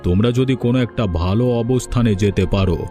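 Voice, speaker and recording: A man voicing a well-meaning village man in a cartoon, earnest and measured. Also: lowish voice, over music, natural voice. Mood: kind, supportive. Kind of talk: Bengali cartoon voice acting.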